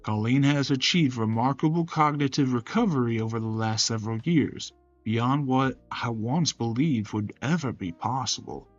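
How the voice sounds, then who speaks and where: A man's voice: medium pitch, moderate pace, dull audio, soft background music, natural voice. A man voicing a courteous, protective male caregiver in a visual novel, with a folksy manner. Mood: earnest, protective.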